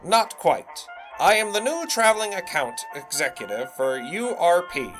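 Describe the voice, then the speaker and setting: A man's voice: high-pitched, sing-song, unhurried, over music. A man playing a pompous young radio salesman. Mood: boastful. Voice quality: pompous, showy old-fashioned patter. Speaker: radio announcer character. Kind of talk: 1920s-style audio drama.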